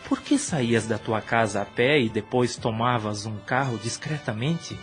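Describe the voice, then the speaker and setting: A man's voice: medium pitch, moderate pace, over music, close to an everyday voice. An actor playing an emotive young man in love in an audio drama, with a Brazilian accent and formal old-fashioned phrasing. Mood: hurt, reproachful. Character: wounded, reproachful young man in love, former priest. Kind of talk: radio drama acting.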